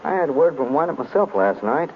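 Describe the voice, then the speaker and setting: Polite concerned man, animated delivery, 1930s radio drama, faintly noisy recording. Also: on the high side, brisk, highs cut off. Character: earnest, worried gentleman seeking a detective's help.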